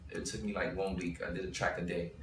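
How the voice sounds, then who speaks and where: Deep-voiced young New man, rambling. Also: level tone, quick pace, a bit bright. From Bushwick, Brooklyn, New York. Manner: rambling, restarts, thinking aloud. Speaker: rapper and interview guest. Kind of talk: casual interview talk. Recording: roomy sound from a distant mic with faint noise.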